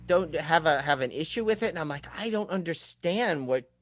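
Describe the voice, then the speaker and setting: A man's voice: on the high side, animated, moderate pace, a crowd in the background. A man hosting a casual film chat, rambling and often trailing off mid-thought.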